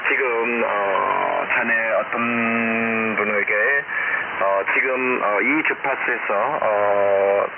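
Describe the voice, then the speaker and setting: A man speaking as an amateur radio operator in an unscripted contact, halting and repeating phrases as he thinks, over noisy band-limited shortwave. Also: fairly low pitch, narrow, tinny audio.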